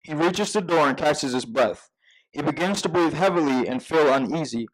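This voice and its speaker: A man with a fairly high voice narrates a screenplay. He reads scene headings and stage directions as scripted narration at a table read. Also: expressive, moderate pace, rough recording.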